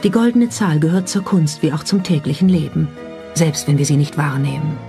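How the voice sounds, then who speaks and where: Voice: mid-range voice, a little fast. A narrator reading a scripted documentary. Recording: music under the voice. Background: background music.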